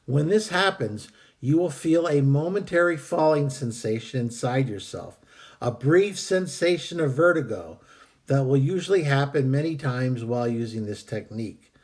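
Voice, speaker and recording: A man hosting a video, calmly reading out and explaining a meditation technique with some hesitant fillers, while fighting a cold that is costing him his voice. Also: medium pitch. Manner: calm, measured, with hesitant fillers. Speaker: host.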